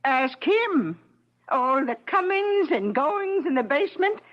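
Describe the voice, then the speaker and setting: An actress playing a snooping older mother-in-law in an old-time radio comedy drama, slow to speak, insinuating and sly. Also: high-pitched, sing-song, full character voice. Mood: sly, needling. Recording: dull lo-fi old radio recording.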